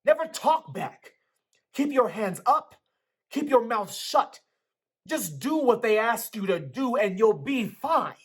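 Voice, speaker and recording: A man voicing a stern, exasperated father who lectures his son forcefully in a book reading. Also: very high-pitched, very animated, takes it slowly, husky, voice pushed up, heavily acted. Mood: angry, raised voice. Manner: forceful, emphatic, interrogating.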